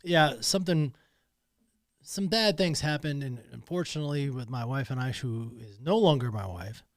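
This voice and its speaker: A man's voice: medium pitch, normal speed, dry recording. A man talking alone in a podcast studio, emotional and emphatic, often repeating himself. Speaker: podcast host. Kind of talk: personal monologue.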